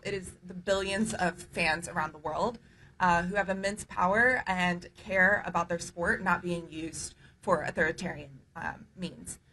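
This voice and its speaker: A woman human rights advocate making a measured press conference statement, pausing often on "um". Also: fairly low pitch, pushed and bright, low-quality recording. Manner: measured, many "um" pauses. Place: news conference room.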